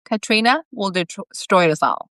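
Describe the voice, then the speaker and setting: A woman's voice: medium pitch, expressive, normal speed, a bit bright, no echo, studio quality, pitched above the performer's own voice, natural voice. A woman reading the part of a sceptical female army veteran in a storybook, blunt and exasperated.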